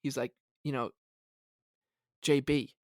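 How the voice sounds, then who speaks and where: A man co-hosting a sports podcast, chatting casually in a halting, gleeful, excitable way. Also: mid-range voice, no room sound, studio quality. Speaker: podcast co-host. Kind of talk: casual hockey fan chat.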